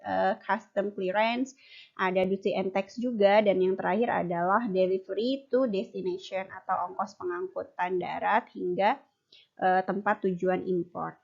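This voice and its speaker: A woman's voice: medium pitch, steady tone, a little slow, vocal fry. Young woman presenting, dull recording. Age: young adult. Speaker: university student presenter. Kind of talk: explanatory class presentation.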